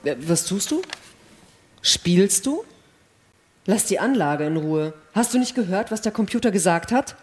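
A woman's voice: mid-range voice, animated, unhurried, pauses often, slightly rough. An actress playing a distrustful, rule-abiding female astronaut who speaks curtly and reproachfully in a sci-fi radio play. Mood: alarmed, scolding. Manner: curt, reproachful. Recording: long hall reverb.

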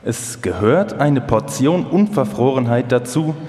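An actor playing a wry, self-assured male engineer recalling his work with expressive, opinionated emphasis in a live radio feature. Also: medium pitch, unhurried, lightly acted. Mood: resolute. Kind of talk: dramatised quotations in a live documentary radio feature. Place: live stage performance in a hall. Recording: echoey hall, long reverb.

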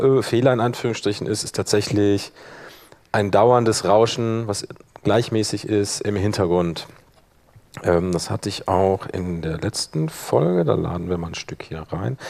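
Man casually presenting a software workshop. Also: mid-range voice, gravelly. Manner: colloquial, thinking aloud while working. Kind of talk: informal live software demonstration.

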